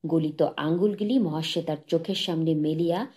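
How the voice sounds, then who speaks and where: A woman reads literary fiction for an audiobook. Her voice is on the low side and distinctly dark and relaxed in tone. The delivery is measured and solemn. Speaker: audiobook narrator. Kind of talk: scripted literary story narration.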